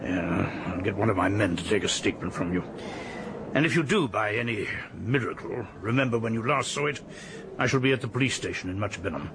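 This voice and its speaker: A middle-aged English colonel of police in a radio mystery. He is formal and gruff, with clipped, methodical questions and little hesitations. His pitch moves widely, over faint recording noise. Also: lively intonation, brisk. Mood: weary, exasperated. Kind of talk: radio drama acting.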